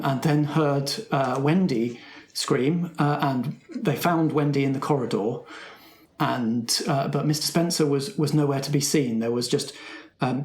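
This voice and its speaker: A man's voice: medium pitch, darkish tone, echoey room, close to an everyday voice. A man playing a shaken, flustered primary school teacher in a roleplaying game, stammering and repeating words.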